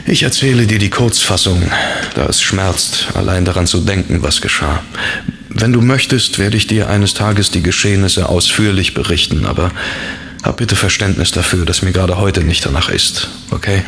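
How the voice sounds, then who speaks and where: Gravelly, weary fallen angel. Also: male voice, low-pitched, normal speed, fairly bright tone, a little hiss or hum. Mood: sombre, confiding. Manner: confiding, sombre, weary. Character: melancholy, world-weary fallen angel in a man's body. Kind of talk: horror audio drama acting.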